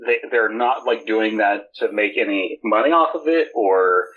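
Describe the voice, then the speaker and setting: A man co-hosting a podcast in casual banter, talking in an animated, sweary way. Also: lowish voice, moderate pace, narrow, tinny audio. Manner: animated, sweary, mimics imagined people in rants. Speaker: podcast co-host.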